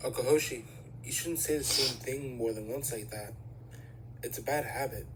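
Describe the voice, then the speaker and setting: A man's voice: medium pitch, normal speed, noisy background, lightly acted. A man voicing a serious, studious teenage boy idol in an amateur anime fandub, speaking in a formal, pedantic, matter-of-fact way. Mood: corrective, polite.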